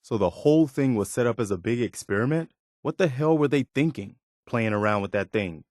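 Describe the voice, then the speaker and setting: An actor playing an earnest, dutiful militia soldier in a post-apocalyptic video game, speaking in a plain, folksy way. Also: male voice, mid-range voice, animated, fairly quick, a little mellow, no room sound, studio quality, natural voice. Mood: urgent, concerned. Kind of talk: video game companion voice lines.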